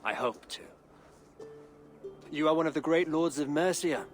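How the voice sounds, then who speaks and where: A man playing a thoughtful, pious English king in a video game, with a formal, regal and deliberate manner. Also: a little high, close to an everyday voice. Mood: earnest, persuasive. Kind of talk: dramatic video game acting. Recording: music under the voice.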